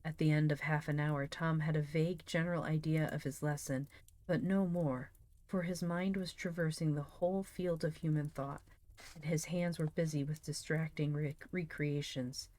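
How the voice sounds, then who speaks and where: Low-voiced, expressive woman reading aloud. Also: moderate pace, no echo. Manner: expressive, enthusiastic. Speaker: streamer reading books aloud; teacher. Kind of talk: expressive audiobook-style reading with casual livestream chat.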